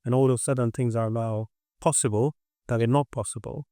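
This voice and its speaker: Male, mid-pitched and clear, emphatic conversational expert, dry close sound. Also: steady tone, a little fast, warm tone, dry recording. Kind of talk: animated industry discussion.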